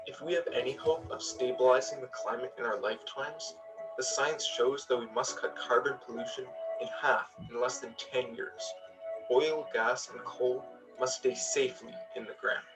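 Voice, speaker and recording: A man's voice: medium pitch, steady tone, moderate pace. Distant man over music. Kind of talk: scripted statement in advocacy video.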